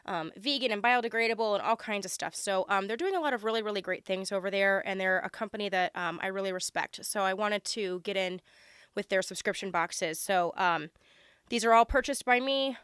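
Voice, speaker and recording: A young woman with a hoarse, congested voice chats her way through an unboxing, full of ums and little gushes of delight. Under the sickness her voice stays smooth and even. The recording is close and dry. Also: medium pitch, fairly quick, no room sound. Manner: chatty, lots of ums, gushing over items. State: hoarse and congested from being sick. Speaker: unboxing vlogger. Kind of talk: casual unboxing chat.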